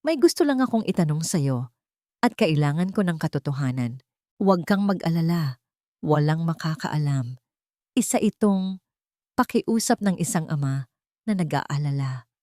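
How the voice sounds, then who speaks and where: A woman's voice: sits low, animated, normal speed, pauses often, a little mellow, no echo, clean studio sound. A woman voicing a worried, stern wealthy father in story dialogue, grave and pressing. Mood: grave, confiding.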